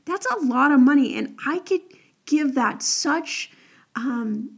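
A woman's voice: sits high, lively intonation, slow pace. A young woman hosting an advice podcast in a chatty, upbeat way, her voice a little crackly from the early morning. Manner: chatty, upbeat, lots of "like" and "all right?". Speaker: podcast host.

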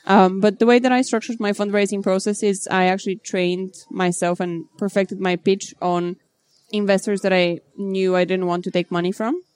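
A woman from Bulgaria in her thirties, a startup founder answering interview questions in a measured, businesslike way. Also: mid-range voice, level tone, darkish tone, smooth, dry recording.

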